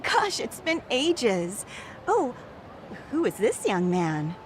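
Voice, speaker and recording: An actress voicing a polite, gentle woman in a video game dub. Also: on the high side, huge pitch swings, takes it slowly, slight background noise, exaggerated voice. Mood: surprised, pleased. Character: polite, gentle woman, surprised old acquaintance.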